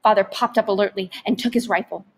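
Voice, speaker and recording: A woman's voice: medium pitch, fairly even intonation, fast talker, breathy edge. A woman reading a story aloud expressively, like a teacher narrating to students.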